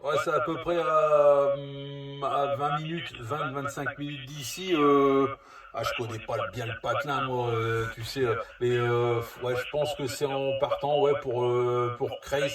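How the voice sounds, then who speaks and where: A man's voice: medium pitch, little pitch movement, unhurried, over a noisy crowd. Casting: male CB operator, casual and rambling, distant from the mic, roomy echo. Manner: rambling, hesitant. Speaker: CB radio operator. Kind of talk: casual two-way radio chat.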